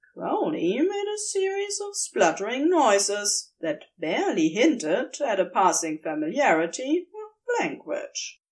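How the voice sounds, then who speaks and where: A woman reading a fan fiction story aloud with gentle, expressive storytelling. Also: medium pitch. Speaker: podfic reader. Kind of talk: unhurried fan fiction narration.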